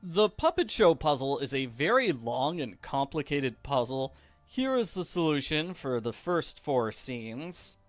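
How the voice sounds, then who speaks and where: Casting: male walkthrough host, jokey and unhurried, highish voice, dry close recording over soft game music. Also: dry recording. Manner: playful, jokey. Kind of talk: casual game commentary. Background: faint game music.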